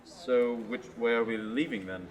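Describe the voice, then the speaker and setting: A teenage student actor playing a patient, kind young adventurer in a radio play, steady and reasonable. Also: male voice, medium pitch, moderate pace, close to an everyday voice, poor audio. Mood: questioning. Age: teens. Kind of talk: amateur radio play acting.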